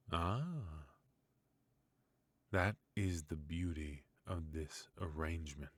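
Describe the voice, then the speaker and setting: Man playing a deep, slow-spoken haughty royal mentor. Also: husky, no room sound, exaggerated voice. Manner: formal, measured, wry, with musing hums and soft chuckles. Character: forgotten adult male royal mentor, resentful, haughty yet indulgent. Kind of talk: intimate ASMR fantasy roleplay.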